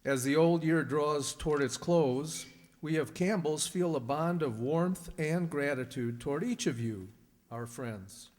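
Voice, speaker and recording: Formal, higher-pitched radio announcer in a hall. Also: male voice, darkish tone. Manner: formal, measured, earnest. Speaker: sponsor's announcer. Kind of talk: 1930s radio announcing, scripted. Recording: reverberant hall.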